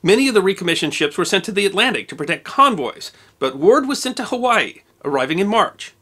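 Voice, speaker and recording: A man narrating a scripted history documentary, measured and precise with dates and figures. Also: sits high, sing-song, sharp tone, slightly rough.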